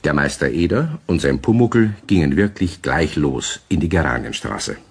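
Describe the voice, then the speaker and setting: A man's voice: a bass voice, very animated, moderate pace, slightly rough, exaggerated voice. A man narrating a children's radio play as a genial storyteller, in scripted storybook style. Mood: storytelling.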